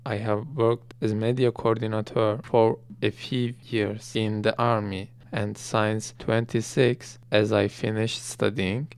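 Man, interpreter, measured delivery, very slow, gravelly fry, smooth resonant tone. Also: on the low side, a little mellow. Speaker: interpreter giving English summary. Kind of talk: translated summary.

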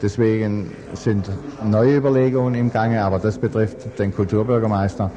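A man in the role of a mayor giving a formal, measured political statement. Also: on the low side, steady tone.

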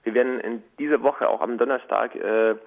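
A man being interviewed, explaining at length in an informal, halting way with many hesitation sounds. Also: medium pitch, steady tone, creaky. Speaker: interview guest from a Latin America news collective. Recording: tinny, narrow phone-like line.

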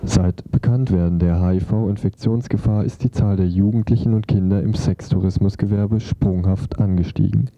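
A man's voice: low voice, fairly even intonation, moderate pace, few pauses, dark tone, dry recording. A man presenting a scripted radio feature, reading it out on a dull lo-fi recording.

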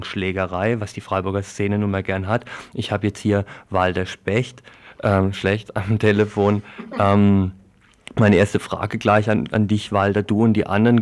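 A male radio presenter with a low voice and very even intonation. He delivers news reporting and puts interview questions in a plain, flat melody.

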